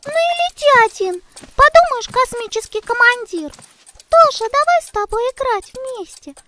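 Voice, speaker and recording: A woman's voice: very high voice, lively intonation, normal speed. A woman voicing a little girl kitten in a children's cartoon, playful and easily offended, with a childlike manner. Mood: offended, defiant.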